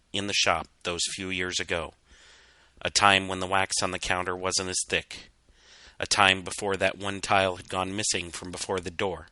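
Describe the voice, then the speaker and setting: A man narrates a scripted story in a measured, dramatic way. His voice sits low but has a very bright, forceful edge. The sound is dry, with little room on it. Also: no echo. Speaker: narrator reading fan fiction.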